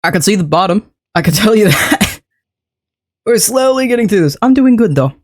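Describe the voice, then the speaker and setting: Slightly sick, chatty streamer with a deepened voice. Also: lively intonation, normal speed. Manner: chatty and rambling, laughs often, greets and thanks chat. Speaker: game streamer. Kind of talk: casual chat with a live stream audience.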